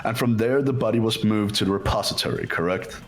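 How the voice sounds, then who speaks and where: A man voicing a composed, formal young rival who explains things in a measured way in a fan-dubbed game. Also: on the low side, close to an everyday voice. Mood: cool, explanatory. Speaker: amateur voice actor in a group playthrough. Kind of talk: fan dub of a visual novel game.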